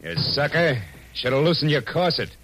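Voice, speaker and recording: An actor playing a tough, wisecracking private detective, clipped and sardonic, in 1940s radio drama. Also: male voice, fairly high pitch, moderate pace, natural voice, poor audio. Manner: clipped, sardonic, hard-boiled. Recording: old radio broadcast recording with music.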